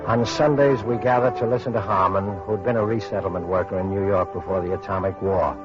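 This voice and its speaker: Low-voiced, grave survivor narrator played by a man. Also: fairly even intonation, fairly quick, lightly acted. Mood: sombre, reflective. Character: Stanchion, weary male survivor and grave narrator after atomic war. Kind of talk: 1950s radio drama narration. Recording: muffled old radio recording, music behind.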